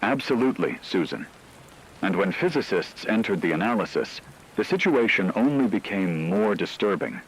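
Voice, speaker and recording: A man playing a grave radio news anchor, reading scripted breaking news in a measured, formal manner. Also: fairly low pitch, lively intonation, breathy edge, low-quality recording.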